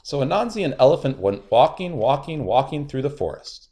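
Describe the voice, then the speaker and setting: A man's voice: medium pitch, sing-song, a little fast, dark tone. A man narrating a children's storybook aloud, expressively and with dramatic emphasis.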